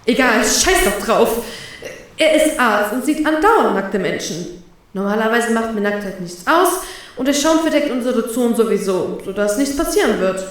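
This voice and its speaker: A woman's voice: fairly high pitch, lively intonation, moderate pace, natural voice. A woman voicing the flustered inner thoughts of a nervous young woman, swearing under her breath. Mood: resigned. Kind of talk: inner thoughts in a fan fiction reading. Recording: very echoey, from far off.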